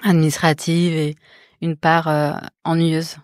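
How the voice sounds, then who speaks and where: A woman in her thirties, a visual artist born in the Netherlands and raised in France, answering interview questions in a soft, reflective, halting way. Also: deep voice, flat delivery, no echo, clean studio sound. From born in the Netherlands, raised in Marseille. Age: 30s. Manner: many fillers and restarts, soft and reflective. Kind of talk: hesitant interview talk.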